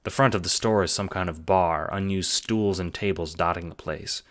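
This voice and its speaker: A man's voice: low voice, moderate pace, a bit bright. A man reading scripted second-person narration for an audio drama.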